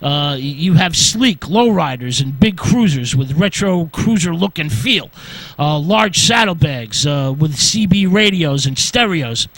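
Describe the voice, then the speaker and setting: A man with a high-pitched voice presents an informal history lecture on the radio. He works through his notes in short phrases, often halting to say uh. The sound is close and dry, with very little room in it. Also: animated, fairly bright tone, no echo, low-quality recording. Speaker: radio host.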